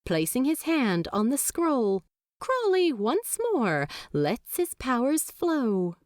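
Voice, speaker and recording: A woman reading a fanfiction story aloud, slow and very expressive in her pitch. The recording is close and dry with no room sound. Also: no room sound. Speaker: audiobook narrator.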